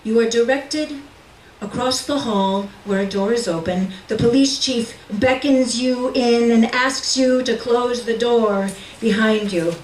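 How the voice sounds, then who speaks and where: Slow-reading woman in a roomy space. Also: on the high side. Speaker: reader at a literary reading. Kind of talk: live reading of literary fiction in second person. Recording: roomy, distant mic with faint noise.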